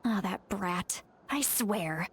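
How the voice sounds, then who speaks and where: A woman's voice: medium pitch, expressive, slow pace, a little hiss or hum. A woman playing an exasperated, scolding but caring old granny in a video game, with a dramatic delivery. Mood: apologetic, calmer. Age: older.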